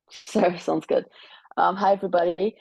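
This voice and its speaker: A young woman from Morocco, a medical student, introducing herself calmly on a video call. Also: on the low side, a little slow, creaky, breathy edge, dry recording, low-quality recording. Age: young adult. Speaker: guest speaker, medical student.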